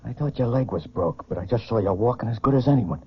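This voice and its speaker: Husky wisecracking radio jailbird. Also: male voice, medium pitch, lively intonation, fast. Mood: doubtful, teasing. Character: skeptical male prison inmate, wisecracking and streetwise. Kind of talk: 1940s radio crime drama acting. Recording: muffled 1940s radio broadcast recording.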